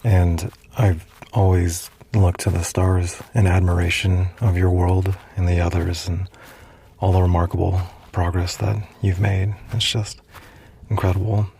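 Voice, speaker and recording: A man plays an earnest, awkward starship commander in an immersive audio drama. His voice is very low and gravelly. He talks haltingly, stammering and muttering to himself, with faint ambient noise behind him. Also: little pitch movement, dark tone. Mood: earnest, careful. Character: awkward, earnest space commander, self-deprecating emissary, smitten.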